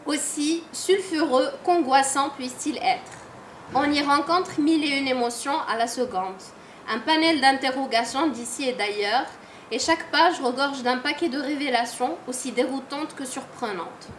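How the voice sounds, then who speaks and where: A woman in her forties reading a prepared literary review aloud from her phone in a measured way, in a salon full of listeners. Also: sits high, reverberant, a little hiss or hum. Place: large salon room with audience.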